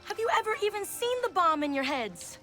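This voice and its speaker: A woman's voice: extremely high pitch, sing-song, a little fast, over loud music. A woman playing a headstrong, sassy eighteen-year-old in a video game, exasperated and assertive with youthful slang. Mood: scornful. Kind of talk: video game cutscene acting.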